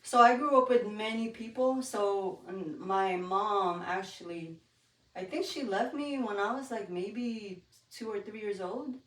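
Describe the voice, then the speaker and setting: A woman from the Philippines and Guam talks as a podcast guest in a candid, informal way. Her voice sits at a middle pitch. The recording is roomy and echoey, picked up at some distance from the mic. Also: measured pace, a bit bright. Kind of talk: casual personal conversation.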